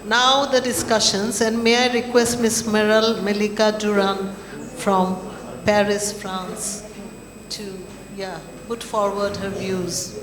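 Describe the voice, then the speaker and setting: Slow formal woman chairing in a hall. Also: medium pitch, little pitch movement, crowd noise. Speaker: session chair. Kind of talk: formal moderating. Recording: echoey hall with audience.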